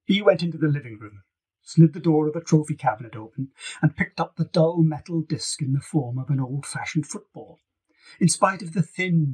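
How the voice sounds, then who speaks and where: A man's voice: on the high side, a little mellow, slightly rough, poor audio. A man reading scripted fiction as an author narrating an audiobook. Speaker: author reading own novel.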